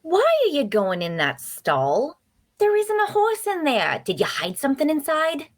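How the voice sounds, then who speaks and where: A woman's voice: high-pitched, huge pitch swings, unhurried, full character voice. A woman playing a chatty, nosy and cheerful traveler in a fantasy audio drama, with a teasing, folksy manner. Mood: curious, friendly. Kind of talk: dark fantasy audio drama table read.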